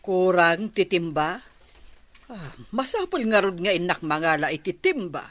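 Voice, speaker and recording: A woman's voice: on the low side, lively intonation, measured pace, no room sound. A woman with an Ilocano accent playing an irritable, scheming village wife in a comedy radio drama.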